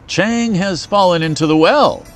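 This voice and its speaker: A man's voice: high-pitched, a little slow, faint music behind, pitched above the performer's own voice. A man voicing a grumpy, sleepy old man in a children's storybook. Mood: grumbling.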